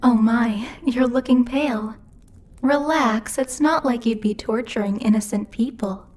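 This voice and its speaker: Woman playing a bossy, mellow-voiced demon girl. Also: a little high, measured pace. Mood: taunting, menacing. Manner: haughty, playfully menacing, conversational. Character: bossy, teasing female demon ruler of hell. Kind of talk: ASMR roleplay, intimate scripted monologue to the listener.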